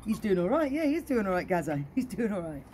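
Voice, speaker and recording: A woman, around her forties, reading a children's story in a playful, expressive way, with chatty asides like a children's entertainer. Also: medium pitch, quick pace, a little hiss or hum. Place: outdoor garden.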